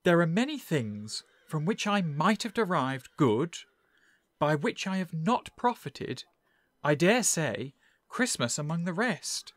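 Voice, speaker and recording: An actor playing a cheerful young nephew in an audio drama, speaking earnestly and warmly. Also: male voice, on the high side, very animated, slow, no echo, clean studio sound, full character voice. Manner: earnest, pleading.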